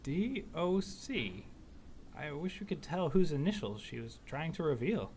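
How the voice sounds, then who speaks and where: An actor playing an earnest, anxious young husband in a stagey radio drama. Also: male voice, on the high side, sing-song, unhurried, darkish tone, slight background noise. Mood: uneasy.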